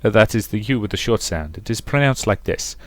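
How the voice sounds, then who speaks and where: A man's voice: fairly low pitch, animated, brisk, no room sound. A man voicing a strict, aloof nobleman who speaks formally and bluntly, as in a visual novel. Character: stern, aloof nobleman, strict matter-of-fact teacher.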